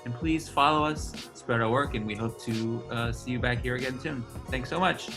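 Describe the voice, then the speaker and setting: Guy podcast host, average pitch, a bit halting, faint music around. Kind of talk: casual podcast hosting.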